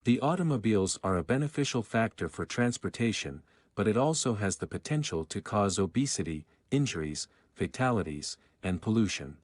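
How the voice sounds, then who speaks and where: A man's voice: fairly low pitch, animated, a little fast, dry recording, studio quality. A man reading scripted exam practice passages aloud.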